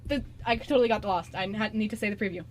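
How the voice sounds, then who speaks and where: A young woman chatting casually as a radio show host, giggly, excitable and rambling. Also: mid-range voice, fast talker, a little hiss or hum. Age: young adult.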